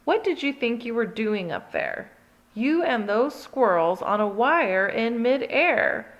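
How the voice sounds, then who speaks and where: A woman's voice: on the high side, big pitch swings, takes it slowly, full character voice. A woman voicing a fussy, dramatic mother cat who scolds in rhyme. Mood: worried scolding. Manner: exasperated, rhyming scolding.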